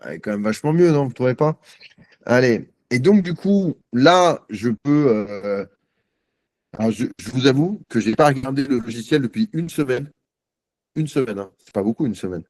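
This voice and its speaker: A man giving a live software demo on a video call, chatty and self-mocking, thinking aloud with many fillers. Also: mid-range voice, lively intonation, normal speed, no echo. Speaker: guest presenter.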